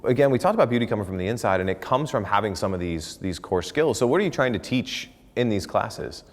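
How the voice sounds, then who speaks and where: A man's voice: fairly low pitch, lively intonation, fast, in a large hall. A man hosting an interview show, upbeat and enthusiastic. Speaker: talk show host.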